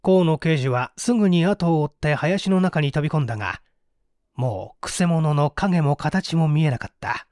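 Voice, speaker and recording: A man narrating a detective story as an audiobook, with theatrical, deliberate phrasing. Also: fairly high pitch, lively intonation, darkish tone, dry recording, clean studio sound. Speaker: audiobook narrator, stage actor.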